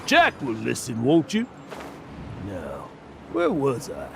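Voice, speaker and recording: An actor playing a boastful, theatrical adventurer who tells grand tales pompously in a video game. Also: male voice, medium pitch, wildly swooping pitch, slow, vocal fry, background noise, exaggerated voice. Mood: dramatic storytelling. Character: boastful, theatrical self-styled legendary male adventurer.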